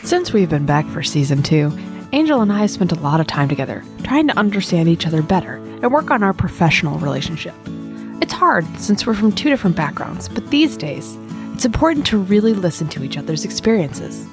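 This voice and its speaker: A woman co-hosts a comedy podcast with a slightly low voice and very animated intonation. She is wry and irreverent as she explains and banters, over faint music. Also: brisk. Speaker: podcast co-host.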